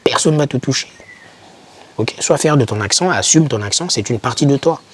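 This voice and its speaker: A man's voice: mid-range voice, animated, brisk, slight background noise. A young man giving informal motivational advice to camera, speaking emphatically and repeating key words to encourage the listener. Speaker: YouTuber advising foreign students.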